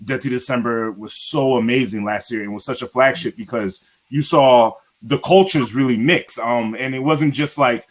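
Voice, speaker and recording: A man's voice: mid-range voice, moderate pace, hardly any pauses. A man with an American accent hosting a casual online panel, talking freely with lots of fillers. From grew up in the United States. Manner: chatty, filler-heavy, emphatic. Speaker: panel host.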